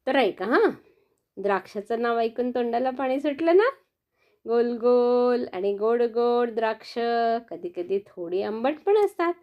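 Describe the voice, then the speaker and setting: A woman's voice: a little high, muffled recording. A woman telling a bedtime story to children in a sing-song, coaxing manner, often asking them questions.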